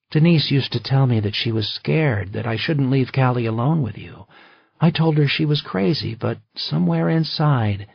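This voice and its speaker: A man's voice: medium pitch, gravelly, slightly rough, no room sound, muffled recording, voice pushed up. A man voicing a furious, grieving, betrayed wife who speaks with intense accusation.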